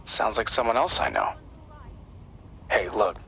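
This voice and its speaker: A man's voice: fairly low pitch, huge pitch swings, unhurried, creaky, fairly bright tone, a little hiss or hum, exaggerated voice. An actor playing a wry, casual male hacker sidekick in a video game. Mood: wry, apologetic. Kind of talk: video game dramatic acting.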